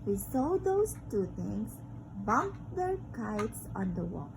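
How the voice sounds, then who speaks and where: A woman's voice: mid-range voice, big pitch swings, slow pace, creaky, noisy background. A woman reading a children's picture book aloud to her baby in an emphatic, child-directed way, often repeating words.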